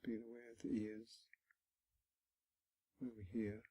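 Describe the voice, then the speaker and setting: Slow, low, husky male meditation guide. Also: level tone. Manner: gentle, instructional, with frequent pauses between short phrases. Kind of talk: guided body scan meditation.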